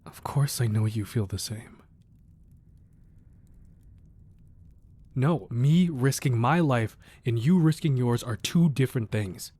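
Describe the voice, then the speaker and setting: A man playing a protective, ruthless crime boss who is tender with his wife, speaking softly and earnestly in an intimate romantic ASMR roleplay. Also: mid-range voice, expressive, dry recording, close to an everyday voice. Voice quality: soft, husky, breathy. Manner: earnest, measured, firm yet affectionate.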